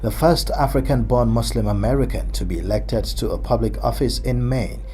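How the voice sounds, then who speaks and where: A man reading a scripted news report voice-over in a measured way. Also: medium pitch, dark tone, soft background music.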